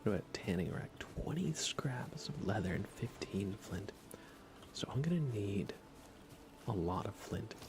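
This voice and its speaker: A soft-spoken man rambling through relaxed ASMR live gaming commentary, musing aloud hesitantly and often slipping into a whisper. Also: lowish voice, measured pace. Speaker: livestream game streamer.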